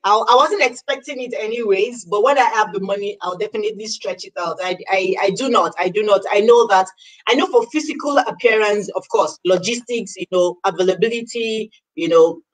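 A woman's voice: medium pitch, a little fast, poor audio. A young adult woman from Nigeria, hosting a live interview show over a video call, effusive and full of thanks. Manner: effusive, grateful, animated. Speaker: host. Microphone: laptop webcam on a video call.

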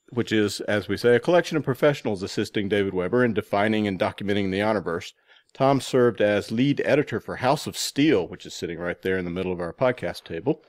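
A man with a notably low voice speaks as a podcast host. He delivers announcements and introductions in a presenter's style. The sound is dry, with hardly any room on it. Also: darkish tone, dry recording. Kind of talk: scripted promotional reading and podcast introductions.

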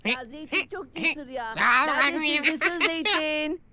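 A woman voicing an excitable, easily frightened young child in a children's cartoon. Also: sits high, measured pace, heavy background noise. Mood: searching. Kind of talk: animated children's cartoon acting.

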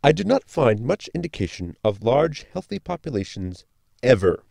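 A polite, pedantic science robot from a sci-fi audio comedy, acted by a man, with a heavily creaky voice that swings up and down dramatically. He speaks in formal, precise sentences with deference, in a very dry, close sound. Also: medium pitch, sing-song, moderate pace, dark tone, dry recording. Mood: precise, explanatory.

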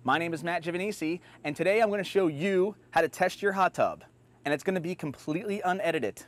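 A man in his thirties with a slightly high voice walks through a hands-on demonstration step by step. He sounds upbeat and plain-spoken, recorded outdoors in a backyard on a clip-on mic. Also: fast. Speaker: host. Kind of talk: unscripted how-to demonstration. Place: backyard outdoors beside a hot tub.

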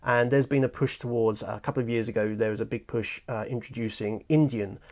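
A man's voice: mid-range voice, fairly even intonation, fairly quick, darkish tone. A man in his thirties, a chatty and enthusiastic host, interviewing a guest in a small studio room on a lapel mic. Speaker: host and audiobook producer. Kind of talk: casual interview chat.

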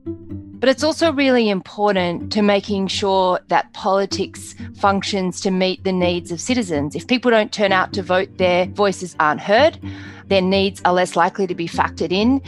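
A woman giving explanatory commentary as an academic guest. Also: mid-range voice. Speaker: law professor, expert guest.

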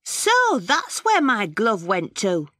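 A woman voicing an eager young village child in a children's storybook, with a bright, excited manner. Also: fairly high pitch, takes it slowly, no room sound, studio quality, exaggerated voice. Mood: relieved.

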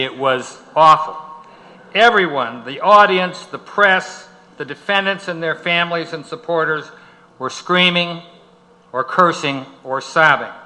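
Forceful older man giving a speech. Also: on the high side, rough recording. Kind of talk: prepared speech recounting events to an audience.

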